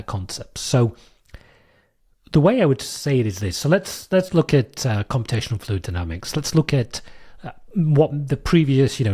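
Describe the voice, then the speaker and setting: A British man with a lowish, husky voice, worn hoarse by a cold, talks through a technical subject alone for his podcast. He thinks aloud as he goes, pausing on fillers and circling back to restart his sentences. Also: darkish tone. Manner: thoughtful, with frequent fillers and restarts. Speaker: podcast host. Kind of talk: solo explanatory podcast monologue.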